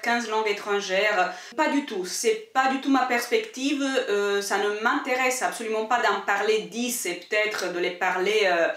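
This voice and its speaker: A woman's voice: on the high side, fairly bright tone, roomy sound, recorded from a distance. A woman whose first language is Italian, speaking French as she answers viewer questions on her book channel, talking thoughtfully with frequent hesitations and repeated words. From Italy.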